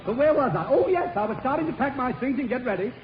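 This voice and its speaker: An actor playing a silly, exasperated prison warden in a 1930s radio comedy, clownish and given to muttered sarcastic asides. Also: male voice, extremely high pitch, animated, quick pace. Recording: muffled, noisy 1930s radio broadcast.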